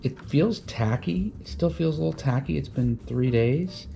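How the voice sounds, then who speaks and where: A man hosting a DIY boat vlog, explaining things in casual, unscripted how-to chat with a halting delivery and repeated words. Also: fairly high pitch, vocal fry, dark tone, soft background music.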